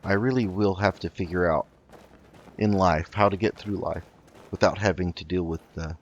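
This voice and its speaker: Deep voiced guy doing casual gaming commentary, musing out loud, slightly dull lo-fi mic sound. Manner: unhurried musing, thinking aloud. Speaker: gaming host. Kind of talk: casual game commentary, roleplaying aloud.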